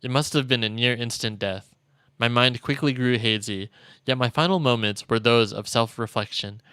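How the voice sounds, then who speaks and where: A man's voice: mid-range voice, moderate pace, pushed and bright, clear, resonant tone, no room sound. A man streaming a game, expressively reading visual novel narration aloud. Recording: dry close mic, faint game music.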